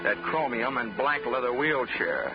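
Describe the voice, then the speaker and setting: A man's voice: medium pitch, lively intonation, a little slow. An actor playing a wisecracking insurance investigator who narrates his case in a wry, polished 1940s radio drama style. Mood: wry narration. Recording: dull old radio recording, music behind.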